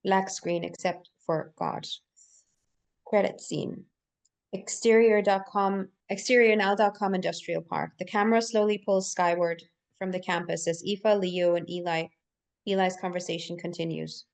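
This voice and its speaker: A woman's voice: fairly low pitch, steady tone, moderate pace, creaky, darkish tone. A woman narrating screenplay directions in a live table read, occasionally stumbling and repeating words as she reads. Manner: stumbles and repeats words while reading aloud.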